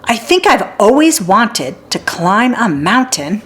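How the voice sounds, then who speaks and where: Woman voicing a small, wistful, wondering toy bear, lively pitch. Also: slow pace. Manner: wondering, earnest.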